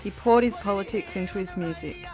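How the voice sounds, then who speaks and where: A woman's voice: mid-range voice, a little fast. An Australian woman reading scripted memorial tributes for a podcast. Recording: music behind the voice. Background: music.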